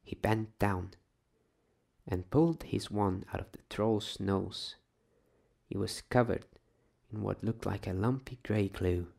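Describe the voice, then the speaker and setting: A man narrates a bedtime story in a soft ASMR style. His voice is low and husky, with plenty of creak, and he takes his time, hushed and at times whispering. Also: slow pace. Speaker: storyteller reading aloud.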